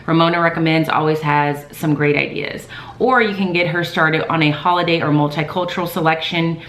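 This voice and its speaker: A woman in her thirties, a teacher, chatting casually in a calm, soft-spoken way with many fillers. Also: low voice, brisk. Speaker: teacher vlogger. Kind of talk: casual vlog talk. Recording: echoey room.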